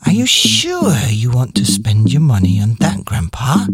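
A man voicing an earnest, polite young grandson in a children's storybook, eager and hushed. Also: on the low side, wildly swooping pitch, unhurried, breathy edge, no echo, studio quality, heavily acted. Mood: hushed concern. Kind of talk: children's storybook character reading. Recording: music behind the voice.

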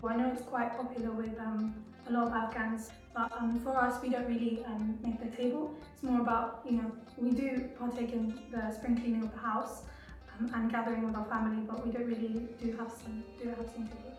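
A woman's voice: on the high side, flat delivery, fairly quick. A young woman from England explaining her traditions in a hesitant, thoughtful way, full of ums. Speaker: interviewee. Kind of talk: casual explanation to camera. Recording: roomy, distant mic, music underneath.

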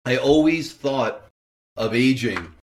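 A man's voice: medium pitch, lively intonation, takes it slowly, slight background noise. A man in a casual interview, explaining ideas articulately and posing rhetorical questions to build his point. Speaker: guest, writer and creator of an audio drama.

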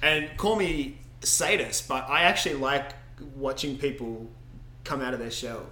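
A man's voice: mid-range voice, a little slow, echoey room. A man co-hosting a casual podcast about tabletop role-playing, telling stories and laughing often. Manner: laughs often, relaxed storytelling. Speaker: podcast co-host. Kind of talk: casual chat.

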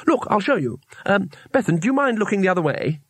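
A man's voice: sits high, sing-song, fast talker, slightly rough, no echo, pitched above the performer's own voice, heavily acted. A man voicing a naive, chatty male tourist in a storybook, polite and earnest with a hesitant way of explaining. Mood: bright, helpful.

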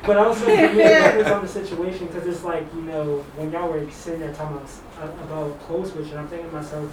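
A blunt, candid young man with a somewhat high voice pauses often and restarts with fillers. He sits far from the mic in an echoing room with steady noise behind. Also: a loud crowd. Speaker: student in a counseling program. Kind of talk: casual group discussion. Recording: echoey room, distant mic, background noise.

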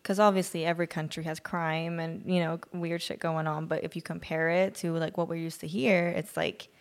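Low, gravelly young woman from Sweden. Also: moderate pace. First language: Swedish. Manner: relaxed, chatty, frank with casual swearing. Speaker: guest. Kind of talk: casual chat.

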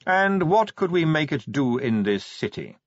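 A cold, dry-witted ruler of a city who drawls each word slowly and flatly, as a man puts him on. The voice is a little husky, and the recording is dry and slightly dull. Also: fairly high pitch, dry recording, voice pushed up. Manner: slow, flat, ironic.

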